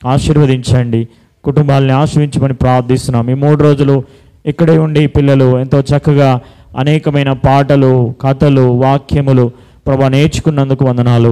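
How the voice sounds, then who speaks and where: A man's voice: medium pitch, mellow, smooth, rough recording. A man leading a children's Bible school, teaching and praying in simple, instructive phrases. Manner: instructing, repeats simple commands and questions. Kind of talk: preaching to children and leading prayer.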